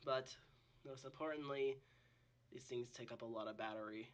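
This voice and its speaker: A man with a husky, under-the-weather voice, hosting a casual reaction video in a rambling, exclamatory way. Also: medium pitch, fairly even intonation, normal speed, slight background noise. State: sick with a cold or similar illness. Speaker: host of a reaction channel.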